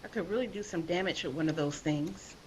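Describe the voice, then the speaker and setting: A woman's voice: lowish voice, fairly quick, darkish tone, close to an everyday voice, rough recording. A woman playing a tough, cocky young female leader in a drama table read, confident and wry. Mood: determined. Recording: faint noise and hiss.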